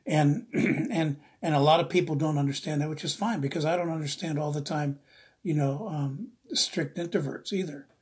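Husky-voiced preacher from the US East Coast. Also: mid-range voice, normal speed. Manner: earnest, rambling, repeats phrases for emphasis. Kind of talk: informal devotional Bible teaching.

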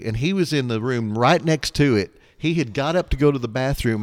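Easygoing middle-aged man telling stories. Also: medium pitch. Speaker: podcast guest, air traffic controller. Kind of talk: casual anecdotal storytelling.

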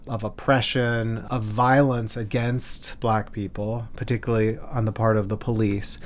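A man speaking as a scholar in an interview, explaining history in a measured, emphatic way with deliberate lists. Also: on the low side, little pitch movement, a little hiss or hum. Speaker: scholar, expert commentator.

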